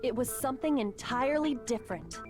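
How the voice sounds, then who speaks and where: Woman acting a composed, high-voiced student detective. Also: expressive, a little fast, over music. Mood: measured, assured. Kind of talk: voice acting from a game script.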